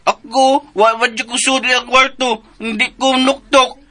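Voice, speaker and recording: Childish simple filipino man, radio drama, super high sharp voice, slow babyish words. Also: flat delivery, full character voice. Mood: sulky, tattling. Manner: halting, babyish broken speech. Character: childlike, simple-minded man, petulant and innocent. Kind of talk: Philippine radio drama acting.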